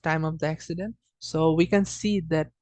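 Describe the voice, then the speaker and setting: A student giving an informal data presentation in a hesitant, halting way, often repeating and correcting themselves. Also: mid-range voice, steady tone, a little mellow, no room sound.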